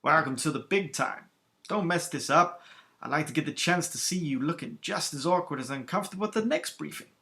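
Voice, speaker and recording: A man's voice: on the high side, lively intonation, fast, gravelly, hardly any pauses, a bit bright, pitched above the performer's own voice. A man doing a grinning, teasing young pilot.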